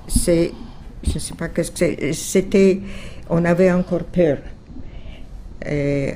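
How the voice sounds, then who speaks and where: An older woman giving testimony in a discussion, halting and searching for her words. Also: low voice, creaky, mellow, slight background noise. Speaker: guest, war survivor.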